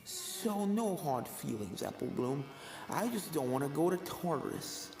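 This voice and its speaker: A performer acts out a female pony ghost with a killer's calm. She speaks in a hushed, unhurried and menacing way, low in energy, over background music in a storybook reading. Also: gravelly, reverberant. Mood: resigned menace. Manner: hushed, slow, calmly menacing. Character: soft-spoken sinister female ghost mare, former serial killer.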